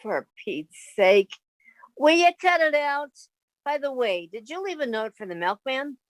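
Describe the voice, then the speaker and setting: Woman acting a sensible young woman, highish voice, put-upon, straight-talking, radio sitcom. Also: big pitch swings, measured pace, low-quality recording. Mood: weary, exasperated. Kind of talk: 1950s radio sitcom reading.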